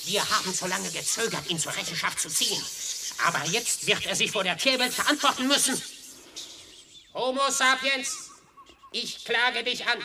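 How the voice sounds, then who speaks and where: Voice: medium pitch, natural voice. An actor playing a stern animal prosecutor in a radio play, declaiming solemnly and accusingly. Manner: declamatory, solemn courtroom oratory. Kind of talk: German radio play acting. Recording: noisy vintage radio play recording.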